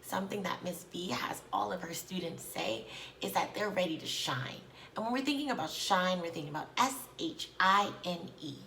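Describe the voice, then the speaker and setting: A woman teacher reading aloud and speaking to children in an expressive, encouraging, deliberate way. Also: fairly low pitch, slight background noise.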